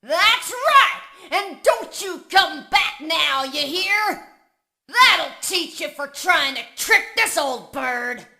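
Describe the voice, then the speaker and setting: Bright, high, gloating robot bird for a game. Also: female voice, heavily acted. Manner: brash, gloating. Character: cocky female robot bird, old.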